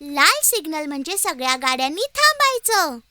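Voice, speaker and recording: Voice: sits high, very animated, moderate pace, heavy background noise. A performer voicing a cheerful child cartoon character who explains games to playmates in simple, exclamatory phrases, in a children's educational cartoon. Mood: cheerful, explaining. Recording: music behind the voice.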